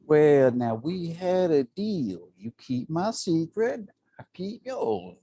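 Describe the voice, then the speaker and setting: An actor at a table read playing a secretive neighbor who talks in a folksy, placating way. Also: male voice, on the high side, sing-song, takes it slowly, warm tone, husky, heavily acted. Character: smooth, secretive older male neighbor.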